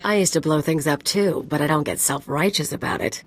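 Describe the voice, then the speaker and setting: Deep-voiced, flippant mercenary sidekick. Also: female voice, a little fast. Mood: blunt, brisk. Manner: blunt, flippant. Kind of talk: video game dialogue acting.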